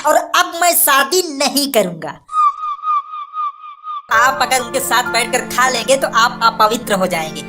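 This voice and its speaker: Woman playing a high-voiced, defiant young grandson. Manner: argumentative, emphatic, speechifying. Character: principled, defiant young grown grandson, a district officer. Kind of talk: cartoon comedy-drama acting. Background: music.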